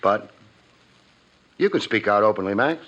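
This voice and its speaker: A man's voice: on the low side, lively intonation, measured pace, fairly bright tone. A man playing a wry, hard-boiled private investigator in a 1950s radio drama, with a clipped tough-guy delivery. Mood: insistent. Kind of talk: 1950s radio detective drama acting and narration. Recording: muffled 1950s radio recording with music.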